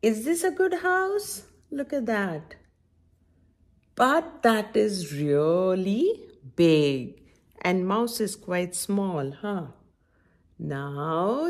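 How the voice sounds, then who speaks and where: A woman's voice: medium pitch, extremely slow. A middle-aged woman hosting a children's story time, reading a picture book aloud with coaxing, sing-song commentary and questions. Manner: animated, sing-song, coaxing teacher-like delivery. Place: small indoor room at home.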